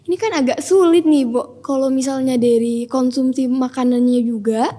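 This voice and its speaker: Young woman host, high voice, chatty, keeps talking to the viewers, echoey room. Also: moderate pace. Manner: lively, chatty, talks to viewers as "teman-teman". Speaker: podcast host. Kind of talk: podcast interview.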